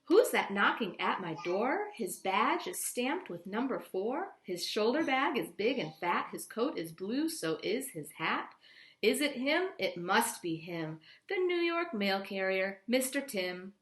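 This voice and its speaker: A woman reading a picture book aloud like a teacher, with expressive, deliberate storytelling and simple explanations for children. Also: medium pitch, reverberant.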